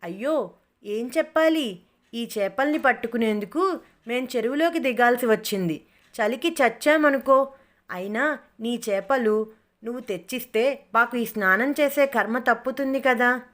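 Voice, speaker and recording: A woman voicing a stubborn, cunning village wife in an animated story, brazen and insistent. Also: medium pitch, natural voice. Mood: brazen, scolding. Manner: brazen, insistent, quick to retort. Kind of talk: animated moral story character voice.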